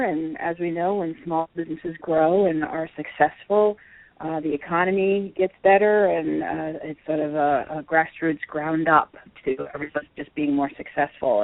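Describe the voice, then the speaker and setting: A woman guest introducing herself informally in a friendly, measured way over a phone line. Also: low-pitched, no echo. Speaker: guest, company co-founder. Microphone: telephone call. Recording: tinny phone line, dry.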